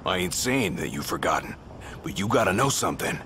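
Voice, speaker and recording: An actor playing a stern, loyal royal bodyguard in a video game, talking bluntly and commandingly. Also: male voice, sits low, very animated, brisk, a little hiss or hum, heavily acted. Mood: earnest, firm. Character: gruff loyal royal bodyguard, stern big-brother warrior. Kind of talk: dramatic video game acting. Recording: loud game music behind the voice.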